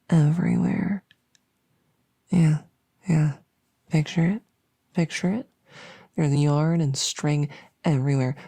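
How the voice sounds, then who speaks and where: Woman doing goofy harmless monster under the bed, asmr roleplay, breathy and whispery with some creaky fry, rambly, repeats herself, earnest. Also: low voice, heavily acted. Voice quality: soft, breathy, often whispering. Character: silly chatty female monster under the bed, harmless, eager to scare. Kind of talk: whispery ASMR audio roleplay, addressing the listener.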